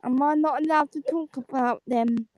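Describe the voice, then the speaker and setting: A young woman from the Caribbean calling in, talking in a halting, repetitive way. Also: high voice, steady tone, darkish tone, no room sound, highs cut off. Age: 20s. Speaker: caller. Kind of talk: casual chat.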